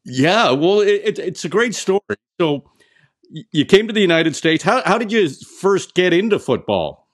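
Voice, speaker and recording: Male sports show host, slightly high voice, conversational interviewer, occasional hesitant pauses. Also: sing-song.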